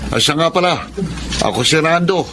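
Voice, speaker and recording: An actor playing an encouraging boxing coach in a Filipino radio drama, with a hearty, reassuring manner. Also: male voice, on the high side, lively intonation, moderate pace, noisy background, close to an everyday voice.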